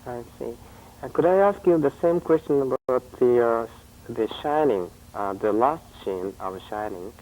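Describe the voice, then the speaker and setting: A man's voice: mid-range voice, expressive, moderate pace, a little mellow, rough recording. Hesitant man, Japanese native speaker. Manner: hesitant, brief backchannel replies. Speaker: interviewer, producer. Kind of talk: telephone interview.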